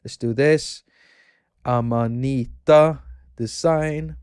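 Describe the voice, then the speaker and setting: Slow-talking Swedish man chatting on stream. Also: mid-range voice, fairly even intonation, darkish tone, smooth. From Sweden. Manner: chatty, rambling, reads out viewer chat and laughs often. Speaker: livestream host. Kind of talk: casual livestream chat.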